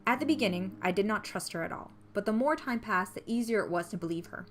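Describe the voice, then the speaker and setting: A woman's voice: medium pitch, expressive, fast, slight background noise. A woman narrating a visual novel playthrough, reading the first-person story text aloud.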